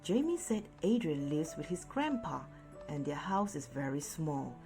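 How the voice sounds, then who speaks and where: A woman reading a children's picture book aloud in an expressive storytelling way. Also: sits low. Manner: deliberate, animated storytelling. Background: music.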